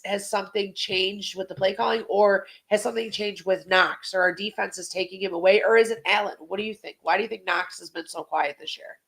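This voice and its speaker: Gravelly-edged, congested woman hosting sports talk. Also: mid-range voice, a bit bright. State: slightly congested. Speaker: sports podcast host. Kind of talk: casual football preview chat.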